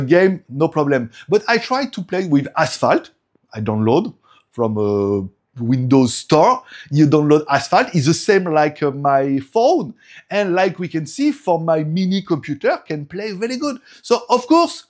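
A man's voice: fairly high pitch, a little mellow. A man in his forties with a French accent, a native French speaker, talking casually in broken English and laughing often as he reviews tech at home. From France.

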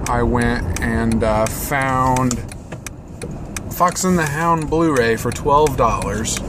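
A man's voice: mid-range voice, takes it slowly. A man in his twenties with an American accent, chatting casually and rambling as he records a vlog while driving. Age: 20s. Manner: rambling, informal, mildly profane. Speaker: vlogger. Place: inside a moving car. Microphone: camera on the dashboard. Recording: loud car road noise.

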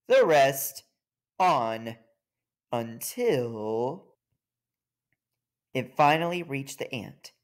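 A man narrating a children's picture book in an expressive read-aloud style. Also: a little high. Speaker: storybook reader.

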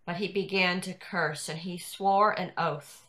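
An older woman reading scripture narration in a measured, deliberate way over a video call. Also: fairly low pitch, steady tone, a bit bright. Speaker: narrator in a church dramatic reading. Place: indoors at home on a video call. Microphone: video call computer microphone.

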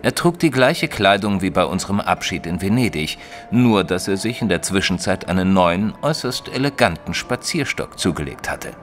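An actor playing a nineteenth-century bookseller who tells his detective adventures in a measured, literary way. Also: sits low, big pitch swings. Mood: measured storytelling. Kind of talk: scripted first-person audio-drama narration. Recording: studio voice over light music.

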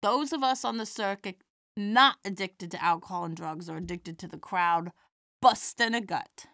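A woman playing a feisty, warm-hearted elderly stand-up comedienne in an audio drama, with wry theatrical comic delivery. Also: mid-range voice, moderate pace, dry recording, studio quality, lightly acted. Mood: earnest, encouraging.

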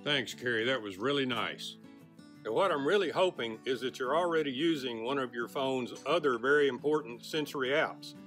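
A man's voice: mid-range voice, moderate pace, a bit bright, soft background music. An older man hosting a garden show outdoors, joking with viewers in a teasing, theatrical way, recorded on a lapel mic. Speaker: host. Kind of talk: playful jokey garden show intro.

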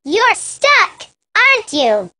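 A woman's voice: very high-pitched, wildly swooping pitch, slow, pushed and bright, exaggerated voice. A woman voicing a confident, bossy young girl animal in a children's learning game. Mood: knowing. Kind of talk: children's educational game acting.

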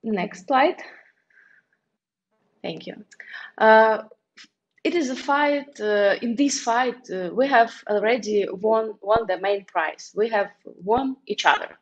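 A woman's voice: on the high side, takes it slowly, pauses often. Belarusian-accented woman presenting, muffled call audio. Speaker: activist speaker. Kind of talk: online conference presentation. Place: small indoor room, on a video call.

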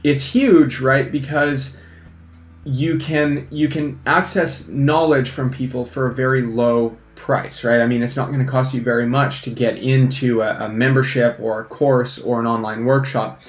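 A young man, a fly fishing instructor, giving energetic casual advice to camera at a desk microphone, often checking in with "right?". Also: medium pitch, a little slow, roomy sound, faint music behind. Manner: energetic, animated hand gestures, lots of "right?". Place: small indoor studio against a plain backdrop. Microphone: desk microphone in front.

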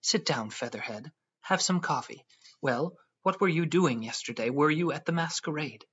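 A man's voice: fairly high pitch, animated, normal speed, fairly bright tone, husky, dull audio, voice pushed up. A man playing a petulant, flighty young wife. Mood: breezy. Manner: raised, whiny, petulant delivery.

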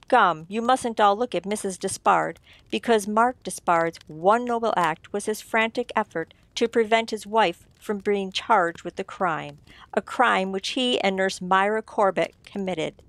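A woman playing a shrewd writer-sleuth who explains things theatrically and deliberately in an old-time radio mystery. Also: medium pitch, a bit bright, no echo. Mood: smugly accusing.

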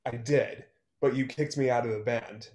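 Bitter, guarded male songwriter played by a man for a stage-play reading. Mid-pitched, terse, sarcastic, in a roomy echo. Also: fairly even intonation, moderate pace, darkish tone, close to an everyday voice. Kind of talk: online one-act play table read. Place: small room at home, video call. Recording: roomy, echoey video-call sound.

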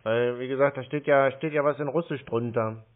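A man in a middle pitch comes through a narrow, phone-like line. He stalls slowly and hesitantly, filling gaps with hums and fillers while he pretends to follow instructions. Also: fairly even intonation. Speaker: scam-baiter posing as a call target. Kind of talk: drawn-out phone call.

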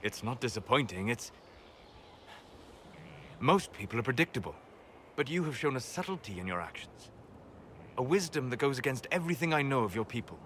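Measured, scholarly elven mage, noisy ambience. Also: male voice, mid-range voice, very animated, a bit bright. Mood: grave, critical. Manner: measured, deliberate, formal phrasing with pauses. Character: calm, scholarly, reserved elven mage, admiring yet condescending. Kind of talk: video game dialogue acting.

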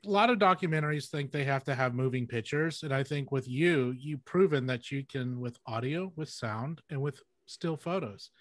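A man's voice: mid-range voice, normal speed, hardly any pauses, fairly bright tone, no echo. A middle-aged man hosting a casual interview over a video call. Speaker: interviewer, host. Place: indoor room, video call.

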